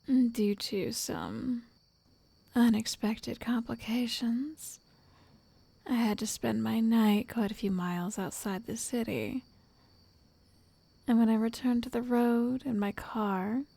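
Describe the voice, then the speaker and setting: Woman acting a slow, breathy, obsessive witch. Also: on the high side, no echo, full character voice. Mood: reflective. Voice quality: soft, breathy, whispery. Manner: slow, coaxing, possessive endearments. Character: obsessive lovestruck witch, a woman, coaxing and quietly controlling. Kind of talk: ASMR roleplay.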